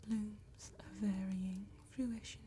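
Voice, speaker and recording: Woman acting elegant gothic vampire lady, ASMR roleplay, mellow dark tone, lowish pitch, hushed, deliberate, calm. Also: slight background noise, natural voice. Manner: hushed, unhurried, deliberate phrasing. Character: elegant gothic female vampire tattoo artist, calm, refined. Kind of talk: soft-spoken ASMR roleplay.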